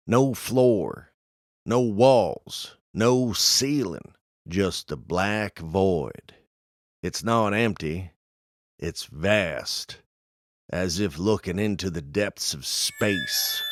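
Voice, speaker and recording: A man acting a grim, guilt-ridden dead man who narrates a scripted audio drama in a slow, brooding, deliberate way. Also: deep voice. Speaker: narrator and in-story character. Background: music.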